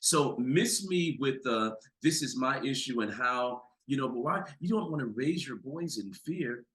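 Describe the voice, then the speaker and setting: A man with a deep voice and an American accent, a psychologist speaking as a podcast guest, talking with emphatic, building intensity. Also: animated, measured pace, fairly bright tone. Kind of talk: impassioned conversational talk.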